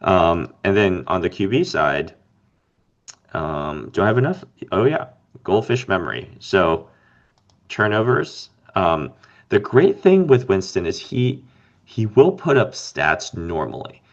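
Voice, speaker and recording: A man co-hosting a casual fantasy game podcast, slightly raspy from being under the weather, rambling and thinking aloud with lots of fillers. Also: low voice, big pitch swings, measured pace, muffled recording. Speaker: podcast co-host.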